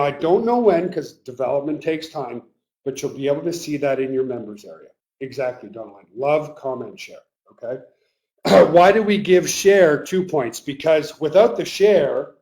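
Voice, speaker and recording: A man hosting a live webinar, talking earnestly and emotionally to his team, his voice getting a little raspy. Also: on the high side, mellow. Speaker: webinar host. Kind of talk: live webinar pep talk.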